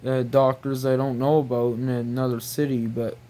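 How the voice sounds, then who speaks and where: A man's voice: medium pitch, flat delivery, moderate pace, warm tone. A man rambling casually to viewers, exasperated and incredulous, repeating himself and peppering his talk with mild slang swearing. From New Brunswick, Canada. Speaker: video creator.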